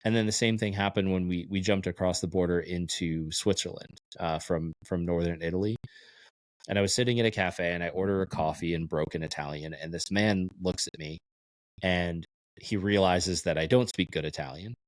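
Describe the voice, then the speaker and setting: A young man from Texas co-hosts a casual podcast with a very deep, low voice. He tells animated stories and slips into the voices of the people he quotes. The sound is dry and close, with no room echo. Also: moderate pace. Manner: animated storyteller, acts out quoted exchanges. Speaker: podcast co-host. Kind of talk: casual chat.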